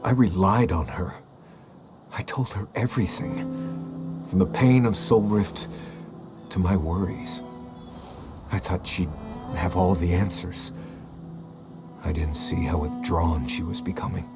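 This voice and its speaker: Gentle, low-voiced young man. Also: unhurried, long pauses. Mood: wistful, regretful. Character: gentle, grieving young man reflecting on his sister. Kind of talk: video game story acting. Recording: music behind the voice.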